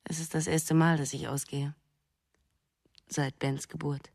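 A woman's voice: low-pitched, level tone, measured pace, vocal fry, a little mellow, no room sound, studio quality, full character voice. An actress playing a curious, teasing woman who probes a writer friend in a German radio play. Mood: intimate, teasing.

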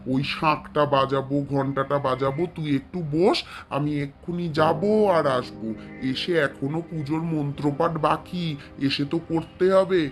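A man's voice: a little high, steady tone, moderate pace, soft background music, natural voice. A man voicing a devout middle-aged mother in a cartoon, fussing and explaining in a homely way. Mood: busy.

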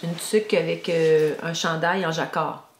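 A woman's voice: deep voice, steady tone, unhurried, slight background noise. A middle-aged woman with a Québécois French accent, chatting casually as a podcast co-host. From Quebec, Canada. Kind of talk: casual chat. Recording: roomy, echoey indoor sound.

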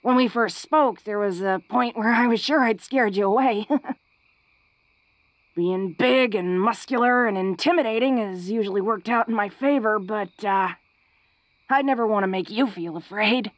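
Woman acting a tough but bashful orc girl, unhurried. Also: medium pitch, dry recording, natural voice, poor audio. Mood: tender, bashful. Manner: bashful, halting, breaking into soft chuckles. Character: big, tough young orc woman, secretly awkward and tender. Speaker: voice actor. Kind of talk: intimate romantic audio roleplay spoken to the listener.

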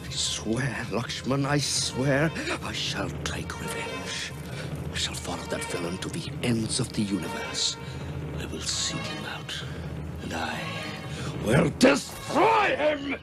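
A noble, grieving prince from an animated epic. The medium-pitched voice is slow and earnest, swinging dramatically in pitch over music. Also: male voice. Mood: vengeful anguish. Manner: earnest, emotional, declamatory. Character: noble heroic prince, passionate, grieving husband. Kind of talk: theatrical animated character acting. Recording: clear music behind the voice.

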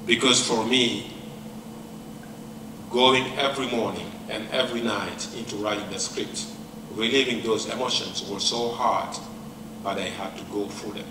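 A middle-aged man from Senegal, whose first language is not English, gives a personal lecture in a large hall, speaking in a measured, reflective way with many pauses. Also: on the low side, fairly even intonation, distant mic. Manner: reflective, measured, pausing often. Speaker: professor giving a lecture. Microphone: handheld mic, far camera. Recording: echoey hall mic with room noise.

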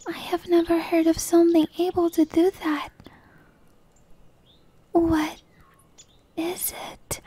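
A woman voicing a shy, innocent young tree dryad in a hesitant, breathy ASMR roleplay whisper. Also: very high voice, little pitch movement, warm tone, no echo. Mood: timid, puzzled. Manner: hesitant, halting, pleading.

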